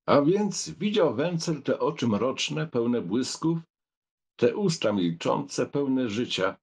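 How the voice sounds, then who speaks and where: A man narrating a literary audiobook in a dramatic style, with a husky voice. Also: mid-range voice, expressive, takes it slowly, a little mellow.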